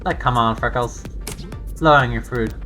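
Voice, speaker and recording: An actor voicing a brash, teasing young joker in a video game, animated and full of slangy exclamations. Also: medium pitch, normal speed, lightly acted. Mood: exasperated. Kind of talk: voiced visual novel dialogue. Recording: game audio with music behind.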